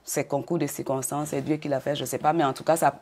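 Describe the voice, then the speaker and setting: An older woman from Côte d'Ivoire answering interview questions in a measured way, often repeating words as she goes. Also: low-pitched, steady tone, a little mellow. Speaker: interview guest.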